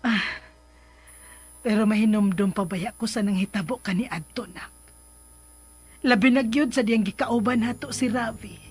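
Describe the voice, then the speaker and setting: A woman playing a caring, coaxing middle-aged mother who reassures gently in a radio drama. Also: mid-range voice, normal speed, long pauses, husky, lightly acted. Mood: confiding.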